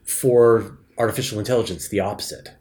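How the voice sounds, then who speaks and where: A man's voice: on the low side, a little fast, a little mellow. A man hosting a casual interview, musing his way through ideas as he talks. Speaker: podcast host interviewing guests. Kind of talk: casual thoughtful discussion.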